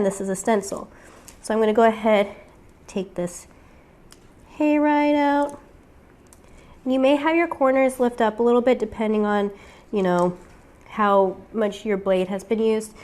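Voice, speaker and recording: A woman's voice: on the high side, a little mellow. A woman with a weak voice from getting over a cold, chatting casually through a step-by-step crafting tutorial with frequent fillers.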